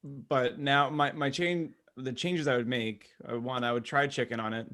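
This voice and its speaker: A man's voice: mid-range voice, fairly even intonation, normal speed, a bit bright. A man hosting a casual podcast conversation with wry, teasing banter. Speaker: podcast host.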